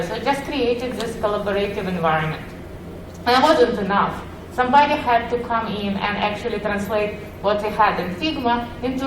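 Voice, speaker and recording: A woman's voice: mid-range voice, noisy background. A woman from Ukraine with a Ukrainian accent and a slightly muffled voice, giving a conference talk in a friendly way with many "uh" fillers. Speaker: conference speaker. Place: conference session room. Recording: echoey hall, heard from a distance.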